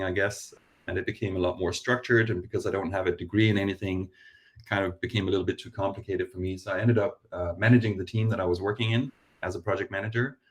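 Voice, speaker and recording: A man from Denmark with a softened Danish accent, introducing himself on a video-call panel in a modest, self-deprecating way with halting restarts. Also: low voice. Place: London.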